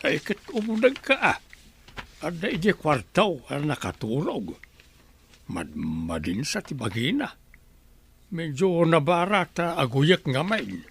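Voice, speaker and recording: A man's voice: a little high, big pitch swings, a little slow, creaky, a little hiss or hum, full character voice. A man playing a scolding, protective older father-in-law in a radio drama, emphatic and reproachful. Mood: matter-of-fact.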